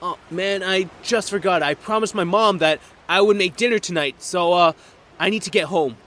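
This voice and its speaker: A man's voice: high voice, moderate pace, a bit bright. A man playing an awkward, guarded teenage boy who is hesitant and defensive, in an audio drama. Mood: evasive. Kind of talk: audio drama character acting.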